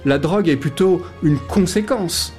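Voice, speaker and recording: A male journalist speaks as a guest in a radio interview, his voice fairly high and mellow. He explains things at length, stopping often with fillers to find his words. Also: a little slow, soft background music. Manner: hesitant, many fillers.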